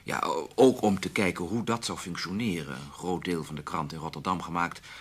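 A man playing a cautious, self-doubting, bookish chief editor in a Dutch radio play, husky-voiced, with a hesitant, mild manner. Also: low-pitched, fairly quick. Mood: cautious, reflective.

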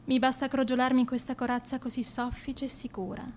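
Radio play: woman as haughty schoolgirl band leader, fairly high voice, florid formal diction, theatrical and self-important. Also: flat delivery, brisk, a little mellow, a little hiss or hum, lightly acted. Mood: self-satisfied. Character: arrogant, egotistical teenage schoolgirl, leader of a metal band. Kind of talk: theatrical scripted monologue.

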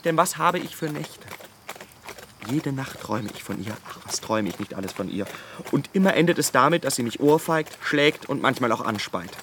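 A man's voice: mid-range voice, animated, moderate pace, noisy background, close to an everyday voice. An actor playing a wretched, lovesick man who whines and pleads as he confesses, in a German radio drama. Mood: whining, anguished. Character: wretched lovesick man, whining and self-pitying.

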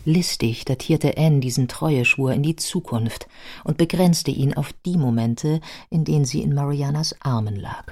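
An actress playing a composed, factual biographer, narrating a radio play in a measured, matter-of-fact way. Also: female voice, low voice, mellow. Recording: studio radio play with music behind.

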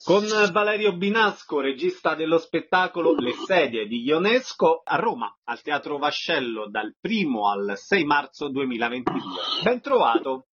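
A man's voice: fairly high pitch, big pitch swings, moderate pace, fairly bright tone, slightly rough. A man presenting on radio as an interviewer, making introductions and putting short questions. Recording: dull lo-fi radio sound.

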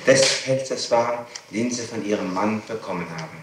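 Very echoey tape of a low-voiced male prosecutor. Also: normal speed. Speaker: prosecutor questioning a witness. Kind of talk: formal interrogation. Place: reverberant room, interrogation recorded on tape. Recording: very echoey archival tape, clearly noisy.

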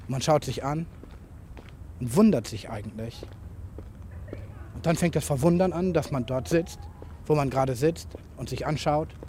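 A man's voice: on the high side, a little mellow. A man speaking an improvised, introspective monologue into a tape recorder in fragmented observations. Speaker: writer. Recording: noisy home tape recording.

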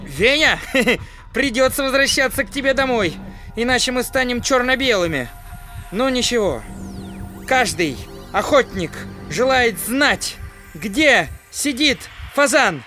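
Very high, bright, plucky little hero. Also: male voice, a little slow, faint music behind, heavily acted. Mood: dismayed, defiant. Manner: earnest, excitable, exclamatory. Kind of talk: children's musical audio play acting.

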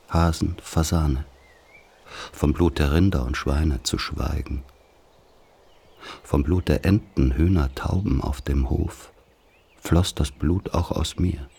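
A very low, gravelly-husky male voice for a literary radio play. He is a grave man looking back on a dark country childhood. He speaks slowly and solemnly, weighing each poetic phrase. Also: heavily acted. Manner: solemn, measured poetic delivery.

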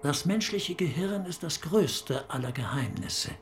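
Knarrender, nachdenklicher Gelehrter. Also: male voice, on the high side, big pitch swings, unhurried. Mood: musing, confiding. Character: reflective scholarly brain expert. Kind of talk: German radio drama acting.

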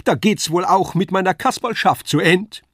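A man voicing a lively wooden puppet clown in a storybook, exclaiming and whining in rustic dialect. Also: high voice, moderate pace, breathy edge, no room sound, studio quality, pitched above the performer's own voice. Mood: wailing, plaintive.

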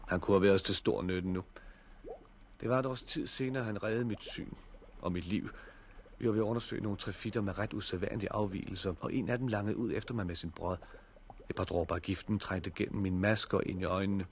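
A man's voice: deep voice, fairly even intonation, a little hiss or hum. An actor playing an irritable, sceptical man who also narrates in a 1960s radio drama. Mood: reflective. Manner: sceptical, dryly sarcastic. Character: irritable male plant worker and narrator, hospital patient with bandaged eyes.

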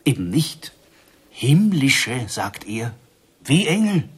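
An actor in a German radio drama playing an excitable villager who passes on wondrous rumours with suspense. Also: male voice, mid-range voice, takes it slowly, husky, full character voice. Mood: awed, excited.

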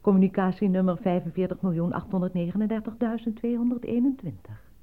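Een actrice die in een hoorspel een omroepster speelt die formeel en weloverwogen berichten voorleest. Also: female voice, medium pitch, dry recording, close to an everyday voice. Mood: measured announcing. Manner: deliberate, formal announcing. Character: measured female announcer reading slogans and lonely-hearts ads. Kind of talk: scripted announcement in a radio play. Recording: dry, narrow phone-like old recording.

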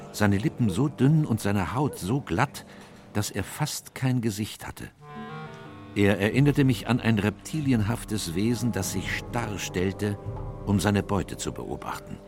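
An actor playing a loyal, worried Victorian gentleman who recounts events in an earnest, measured way for a radio drama. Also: on the low side. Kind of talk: radio drama narration and dialogue. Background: music.

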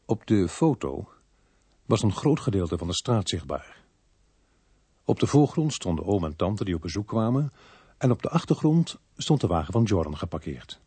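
An actor playing a sober, sardonic police inspector who narrates a murder case in a radio play. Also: male voice, deep voice, big pitch swings, moderate pace, dark tone, slightly rough, no echo, exaggerated voice. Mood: sober, recounting.

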